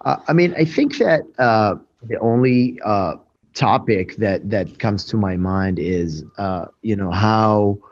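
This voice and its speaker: A man who owns a restaurant answers interview questions, halting with many fillers and restarts. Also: fairly low pitch. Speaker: restaurant owner. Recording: dull lo-fi sound.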